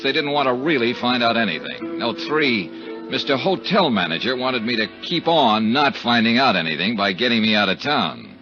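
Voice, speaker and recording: An actor playing a tough, shrewd insurance investigator with a sardonic, probing manner, in 1950s radio drama style. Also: male voice, mid-range voice, close to an everyday voice. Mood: dry, terse. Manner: sardonic, probing, hard-boiled. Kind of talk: 1950s radio drama acting and first-person narration. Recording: dull 1950s radio recording.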